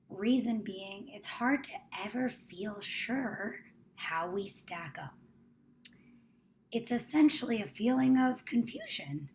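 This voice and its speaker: A woman with a slightly low voice guides a meditation. She speaks in a measured, gentle and often hushed manner, giving soothing instructions. There is faint noise in the recording. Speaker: meditation guide, company founder.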